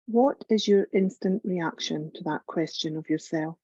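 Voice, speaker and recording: A woman leading a guided meditation as a yoga teacher, calm and measured, giving gentle instructions with long pauses. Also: lowish voice, no echo, muffled recording.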